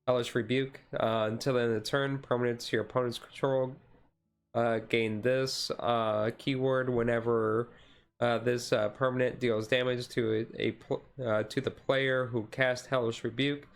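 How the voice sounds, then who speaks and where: A man giving a casual, halting ASMR-style commentary as a streamer, explaining things in a rambling way. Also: medium pitch, flat delivery, gravelly. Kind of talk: casual card deck review commentary.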